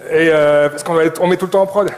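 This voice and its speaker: A man's voice: fairly high pitch, steady tone, fast talker. A man co-presenting an informal tech talk, colloquial, often repeating words as he searches for the next one. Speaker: conference speaker, tech company employee. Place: live talk before an audience.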